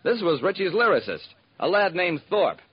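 Animated, husky radio private eye. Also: male voice, high voice, normal speed, exaggerated voice. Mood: wry. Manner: wry, clipped storytelling. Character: wry hard-boiled male private detective telling his case. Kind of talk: old-time radio detective narration. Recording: muffled vintage radio recording.

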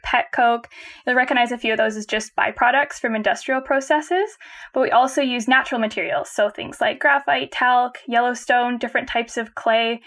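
A woman's voice: on the high side, fairly bright tone. A Canadian woman, a guest on a podcast, explaining her company's work in a friendly way and laughing easily. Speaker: guest, company chief of staff. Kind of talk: conversational interview.

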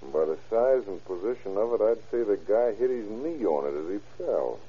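An actor playing a shrewd, terse police investigator in an old-time radio crime drama, reasoning matter-of-factly. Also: male voice, on the low side, big pitch swings, moderate pace, husky. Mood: keen, deducing. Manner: matter-of-fact, terse, deductive. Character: shrewd, hard-boiled male police investigator. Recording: muffled old radio recording.

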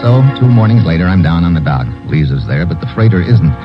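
An actor playing a wry, tough American secret agent in a hard-boiled old radio drama, clipped and world-weary. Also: male voice, deep voice, animated, fast. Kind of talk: hard-boiled 1940s-50s radio narration and acting. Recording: muffled old radio recording, loud music.

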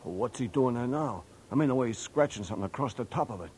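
A man's voice: mid-range voice, expressive, quick pace, darkish tone, natural voice. A man acting an anxious, deferential sidekick in a 1940s radio drama. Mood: uneasy. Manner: earnest, hesitant, questioning. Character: anxious, deferential, helpful younger male sidekick. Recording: noisy old radio recording.

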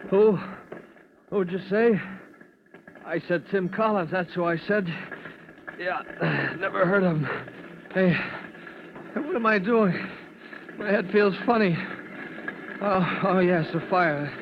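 An actor playing a cocky firefighter muddled by smoke in an old radio drama, boastful and muttering. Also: male voice, high voice, takes it slowly, pauses often. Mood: delirious, rambling. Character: dazed, cocky young male firefighter, delirious from smoke. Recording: muffled, noisy old radio recording.

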